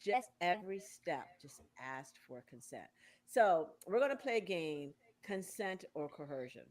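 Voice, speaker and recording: Low-voiced woman in her sixties. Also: big pitch swings, measured pace. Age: 60s. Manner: playful, quizzing guests like a game. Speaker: radio show host. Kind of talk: casual talk show chat.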